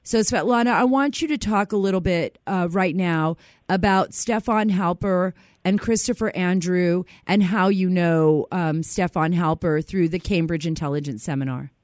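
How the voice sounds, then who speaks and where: A woman's voice: lowish voice, moderate pace, darkish tone, dry recording, clean studio sound. A woman hosting an interview, cutting in to explain things for the listeners. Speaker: show host. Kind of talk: interview host.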